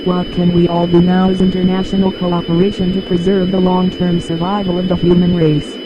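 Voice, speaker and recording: High-pitched actor as grave male official. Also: monotone, fairly quick, muffled recording, noisy background, lightly acted. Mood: grave, urgent. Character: grave male scientific official warning the world. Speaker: official emergency message reader. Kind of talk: formal scripted emergency address.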